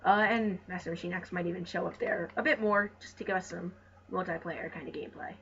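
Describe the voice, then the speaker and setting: Female co-commentator, rapid speech, wry and jokey, casual banter on a dull mic with faint noise. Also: on the low side, fairly even intonation, vocal fry, a bit bright. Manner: wry, joking. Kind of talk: casual banter over gameplay.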